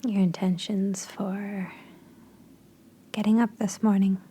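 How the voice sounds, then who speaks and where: A woman leading a guided meditation in a soft, breathy voice with gentle, unhurried, spaced phrases. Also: mid-range voice, flat delivery.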